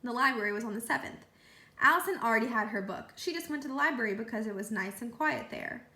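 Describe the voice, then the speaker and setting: A woman reading a children's book aloud as a storyteller, with animated delivery. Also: fairly high pitch, fast talker. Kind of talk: read-aloud storytelling narration. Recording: roomy echo.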